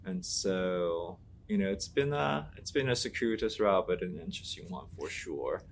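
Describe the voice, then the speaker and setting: A man's voice: low voice, lively intonation, moderate pace, creaky, slight background noise. A man from Taiwan whose first language is Mandarin, a Latin teacher giving earnest, reflective interview answers, thinking aloud with fillers and restarts.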